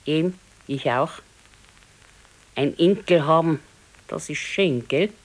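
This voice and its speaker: A woman's voice: sits low, takes it slowly. An actress playing an old grandmother and grumbling, practical wife in a radio play, speaking tersely and dryly with a Bavarian accent. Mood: coaxing. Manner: terse, matter-of-fact, dryly contradicting. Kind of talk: naturalistic radio play dialogue.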